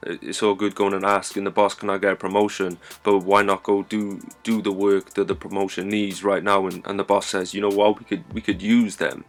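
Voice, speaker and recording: A man's voice: low voice, little pitch movement, brisk, few pauses, soft background music. A man hosting a podcast, agreeable and encouraging, often adding "man" at the end of his lines. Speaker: podcast host. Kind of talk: casual podcast conversation.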